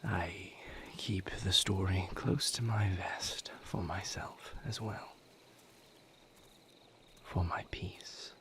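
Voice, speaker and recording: A brooding, tender male vampire lover, acted by a man, speaks in a low, breathy whisper for an intimate audio roleplay. He moves slowly and hesitantly through formal, careful phrases, pausing as he confides in his beloved. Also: fairly even intonation, pushed and bright, exaggerated voice. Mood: guarded, somber.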